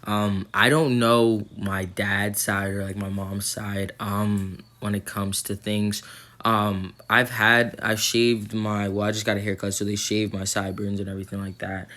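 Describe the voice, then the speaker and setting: Flat low-voiced young man rambling. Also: unhurried. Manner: rambling, lots of ums and likes. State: sore throat. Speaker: vlogger. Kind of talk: casual vlog update.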